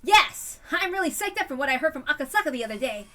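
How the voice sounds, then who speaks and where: A woman voicing an idealistic, hot-blooded young male policeman in an emphatic, excitable way for a story reading. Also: high-pitched, fast, pitched above the performer's own voice. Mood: fired up.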